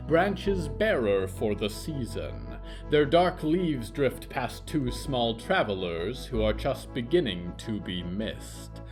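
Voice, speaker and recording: A man narrating scripted horror fiction in a theatrical, deliberate and ominous manner. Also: medium pitch.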